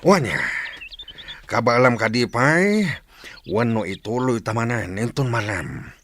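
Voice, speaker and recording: A man's voice: medium pitch, animated, measured pace, fairly bright tone, slight background noise. A man with an Ilocano accent playing a tough, wary, commanding rebel mentor in a radio drama.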